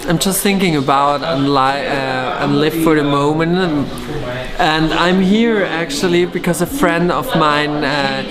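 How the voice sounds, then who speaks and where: A man's voice: a little high, a little slow. A young man chatting casually in an interview, hesitant and tentative as he goes. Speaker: event attendee. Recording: loud crowd chatter behind. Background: loud chatter of many people.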